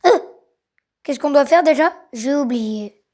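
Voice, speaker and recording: A woman's voice: high-pitched, animated, a little slow, a little mellow. A woman voicing a small, nervous female cartoon jester in an amateur fan dub, hesitant and flustered.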